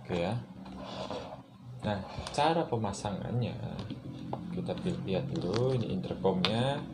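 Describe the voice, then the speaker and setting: A man giving a casual hands-on tutorial, explaining step by step in an informal, halting way. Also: low-pitched, expressive. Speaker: motorcycle vlogger presenting a how-to. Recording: noticeable background noise, room ambience.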